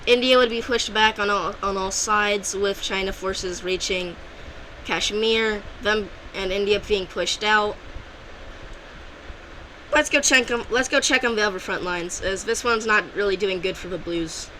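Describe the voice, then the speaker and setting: A woman talks casually over an alternate-history map, keeping her voice bright and clear at a middling pitch. She rambles, backtracks and corrects herself often, like unscripted commentary. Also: a little slow, a little hiss or hum, poor audio. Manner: loose and rambling, with frequent restarts and self-corrections.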